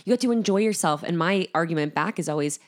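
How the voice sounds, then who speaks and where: A woman's voice: lowish voice, quick pace. A woman co-hosting a casual podcast, enthusiastic and emphatic. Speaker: podcast co-host.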